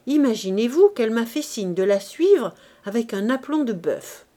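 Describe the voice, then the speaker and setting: A woman reading aloud voices a jovial, sly man who gossips loudly and teases, in a raised voice. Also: on the high side, expressive, moderate pace, close to an everyday voice. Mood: gossiping. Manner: loud, boastful, teasing.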